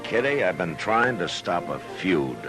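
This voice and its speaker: A weary, dry-humored frontier lawman. His low American voice carries understated authority in the style of a 1950s radio Western, with music heard on the old recording. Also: very animated, measured pace. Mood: dry, relaxed.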